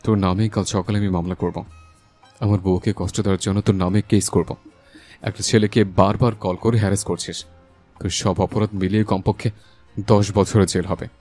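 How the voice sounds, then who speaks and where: Casting: male actor as passionate, protective young husband for romantic audio drama; low voice, emphatic delivery, music underneath. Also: little pitch movement, moderate pace, darkish tone. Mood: furious, scolding. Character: hot-tempered young husband, fiercely protective, tender with his wife. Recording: voice over a music bed.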